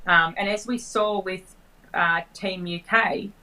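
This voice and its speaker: A woman guest in a casual chat about sailing, friendly and relaxed. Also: medium pitch, slow pace, low-quality recording. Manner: friendly, relaxed, thinks aloud.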